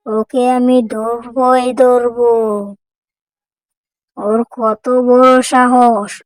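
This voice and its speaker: A man's voice: very high-pitched, dry recording, voice pushed up, exaggerated voice, poor audio. A man voicing a feisty, scolding village wife in a children's cartoon story. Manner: scolding, indignant.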